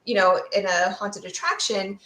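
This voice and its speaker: A woman's voice: medium pitch, fairly even intonation, moderate pace, bright, forceful tone, a little hiss or hum. A woman speaking as an expert guest in an interview, explaining science conversationally and thoughtfully, searching for her words. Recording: roomy, echoey room sound.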